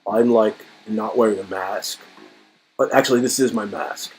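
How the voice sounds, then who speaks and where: A man's voice: on the low side, normal speed, a little mellow, slight background noise. A man reading a story game aloud as host, playfully dramatizing it and breaking off into joking commentary. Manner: playful, riffing, swings into exclamations and mock voices.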